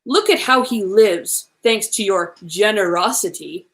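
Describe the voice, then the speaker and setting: A woman voicing a fierce giant male ghost in a children's storybook, with a booming, scolding manner. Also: measured pace, natural voice. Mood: stern, scolding. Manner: booming, scolding, big talk.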